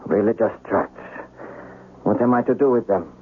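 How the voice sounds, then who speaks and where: A man's voice: on the low side, normal speed, natural voice. A man playing a proud, devoted young samurai master in a radio drama, formal and earnest. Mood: shaken. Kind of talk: old-time radio drama acting. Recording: muffled radio recording with faint noise.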